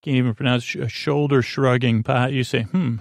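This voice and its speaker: A man hosting a bedtime podcast in a meandering, halting monologue with a goofy, silly streak. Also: medium pitch, fairly even intonation, vocal fry, dry recording, studio quality. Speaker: podcast host.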